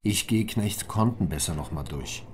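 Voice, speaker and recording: A male police investigator with a deep voice. He is direct, probing and businesslike in a crime radio play, over faint background noise. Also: expressive, moderate pace, a little mellow. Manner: direct, questioning. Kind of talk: radio crime drama interrogation.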